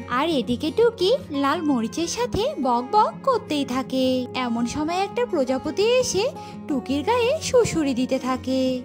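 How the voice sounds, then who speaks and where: A woman narrating a scripted children's cartoon story, with music behind her. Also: high voice.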